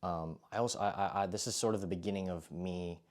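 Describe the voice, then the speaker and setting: A young man with a low voice conducts an interview. He asks long, winding questions and fills them with hesitant ums. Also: fairly even intonation, quick pace. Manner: hesitant, many fillers. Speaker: interviewer, culture editor.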